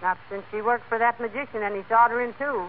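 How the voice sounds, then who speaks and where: A woman's voice: a little high, fast talker, over music, natural voice. An actress playing a dry-witted, sensible housewife and hostess who teases her husband in a 1940s radio comedy. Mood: dry, hospitable. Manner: dry, wry, hospitable. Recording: tinny, faintly noisy old radio broadcast.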